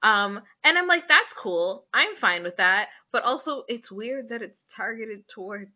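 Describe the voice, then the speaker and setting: A young woman with a fairly high voice talks casually as a podcast co-host. She is candid and opinionated, voicing imagined quotes as she goes. The audio is a little dull and muffled. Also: lively intonation, unhurried. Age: young adult. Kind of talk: casual conversational discussion.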